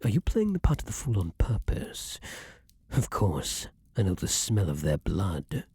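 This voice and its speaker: A man playing an imperious, lovesick vampire king in an intimate ASMR fantasy roleplay, speaking formally and theatrically with breathy, dramatic pauses. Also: deep voice, wildly swooping pitch, mellow, no room sound, clean studio sound, full character voice. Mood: cold menacing fury. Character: ardent, imperious male vampire king, lovesick then menacing.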